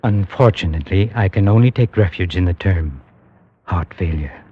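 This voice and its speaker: An actor playing a formal, reserved physician in a classic radio drama, with a husky voice and clipped, courteous delivery. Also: male voice, low voice, normal speed. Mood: reserved regret. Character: formal, reserved physician bearing bad news. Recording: thin, phone-like vintage radio sound.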